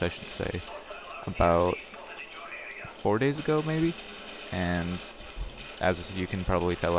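A young man with a slightly raspy voice, giving casual commentary over his own gameplay footage and often correcting himself as he talks. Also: low voice. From Wisconsin, USA. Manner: rambling, self-correcting, chatty. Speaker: gaming channel host. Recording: music playing behind the voice.

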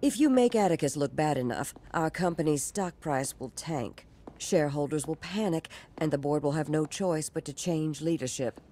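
An actor playing a shrewd, folksy woman executive with cool menace and a measured delivery in a video game cutscene. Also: low-pitched, animated, dark tone. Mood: sly, persuasive. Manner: measured, folksy turns of phrase.

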